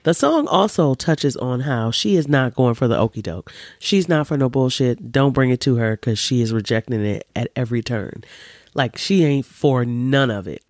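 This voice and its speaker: A woman in her forties with a deep voice, hosting a podcast in an emphatic, animated, opinionated monologue full of casual swearing. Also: moderate pace, no echo, studio quality. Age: 40s. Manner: emphatic and animated, swears freely, preachy asides. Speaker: podcast host.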